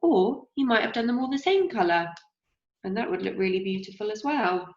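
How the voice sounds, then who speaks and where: Slightly croaky woman teaching young children. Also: mid-range voice, lively intonation, a little mellow. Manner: slow, encouraging, asks children questions. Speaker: primary school teacher. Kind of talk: gentle craft lesson for young children. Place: home kitchen, indoors. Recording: roomy, dull lo-fi sound.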